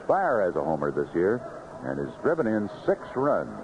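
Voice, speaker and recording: A man's voice: fairly low pitch, huge pitch swings, normal speed. An American man calling live baseball play-by-play on the radio. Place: ballpark broadcast booth. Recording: noisy, muffled vintage AM radio broadcast.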